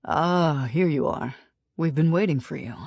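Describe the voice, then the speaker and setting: A woman's voice: low-pitched, a little slow, creaky, darkish tone, dry recording, full character voice. A woman narrator voicing a cool, controlled male billionaire with a chilly, composed voice. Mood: cool, smooth.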